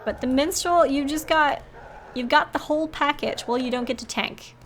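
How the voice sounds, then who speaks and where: A woman's voice: sits high, normal speed, a bit bright, a little hiss or hum. A woman hosting a live game stream, talking casually and expressively and reading bits aloud in a chatty, giggly way.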